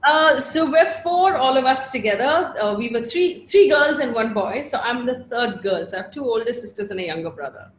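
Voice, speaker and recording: A woman from Bangalore with a Bangalore accent, a journalist telling her story as an interview guest in a chatty, animated way, laughing often and trailing off with fillers. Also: a little high, moderate pace, hardly any pauses, roomy sound. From Bangalore, India. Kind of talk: casual interview chat.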